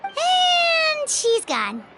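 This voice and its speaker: A woman voicing a small, chirpy, curious fairy companion in an anime video game, with a squeaky childlike voice and exaggerated delivery. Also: huge pitch swings, drawn-out words, pushed and bright, a little hiss or hum, full character voice. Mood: concerned. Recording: music behind the voice. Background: game music.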